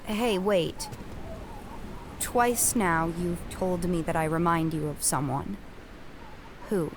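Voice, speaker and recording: A woman's voice: lowish voice, slow. A woman playing a weary, determined young female climber in a family audio drama, blunt, dry and guarded. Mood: curious. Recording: clear background noise, ambience.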